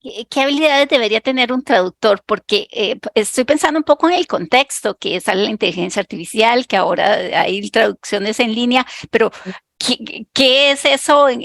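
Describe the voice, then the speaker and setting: A woman hosts a book club interview, asking her questions hesitantly. Her voice sits a little high with a gravelly fry, recorded dry and close. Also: fairly quick, a bit bright, no echo. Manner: hesitant, with many fillers.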